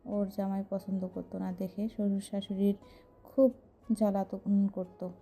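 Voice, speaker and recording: A woman's voice: medium pitch, flat delivery, normal speed, warm tone, soft background music, natural voice. An actress playing a loyal young working woman who speaks in a plain, confiding way in an audio story.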